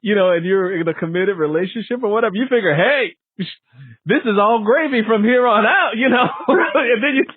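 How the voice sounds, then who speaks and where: A man in his fifties hosting a casual interview, animated and jokey, acting out reactions as he talks. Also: high-pitched, fairly quick, breathy edge, no echo. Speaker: interview host. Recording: muffled narrow phone-like line.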